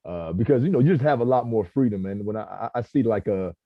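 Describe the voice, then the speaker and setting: Fast-talking man with a low voice. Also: animated, dry recording. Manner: halting restarts, keeps saying "man". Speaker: cast member of a table read. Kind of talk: casual feedback chat. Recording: dull, dry lo-fi audio.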